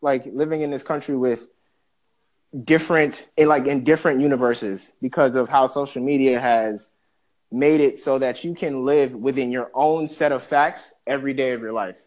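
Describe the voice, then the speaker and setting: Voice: medium pitch, fairly even intonation, moderate pace, a little mellow, rough recording. A podcast co-host from the Bahamas, ranting animatedly and profanely in a casual discussion.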